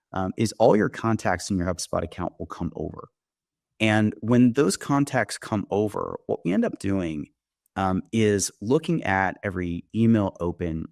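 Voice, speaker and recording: Man, low gravelly voice, conversational software demo, explanatory, close dry sound. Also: no room sound. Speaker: company founder giving a software demo.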